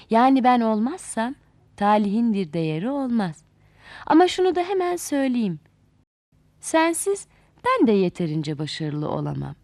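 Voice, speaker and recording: A woman voicing a calm, wise old grandfather in a children's storybook, fond and gently admonishing. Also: medium pitch, dry recording, voice pushed down. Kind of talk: storybook character voice.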